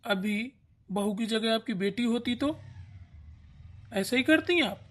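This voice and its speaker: A woman's voice: on the high side, expressive, takes it slowly. A woman voicing a protective young husband and grown son in a family cartoon, firm and reproachful. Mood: indignant, reproachful. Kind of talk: Hindi family cartoon acting.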